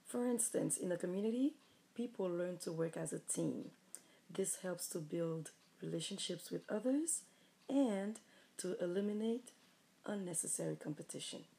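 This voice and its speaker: A woman with a Haitian accent, a mother and teacher, giving earnest, measured answers in a family interview. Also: lowish voice, animated, gravelly, mellow. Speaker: mother, high school teacher. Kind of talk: reading prepared interview answers.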